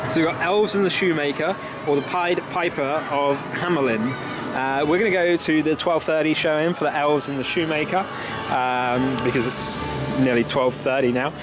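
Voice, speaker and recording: A young British man with a slightly high voice talks informally to a handheld camera. He is chatty and casual, like a travel vlogger out and about, and loud music plays over the outdoor sound. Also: steady tone, moderate pace. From Britain. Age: 20s. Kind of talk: casual vlog narration to camera. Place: theme park, mostly outdoors. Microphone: handheld camera at arm's length.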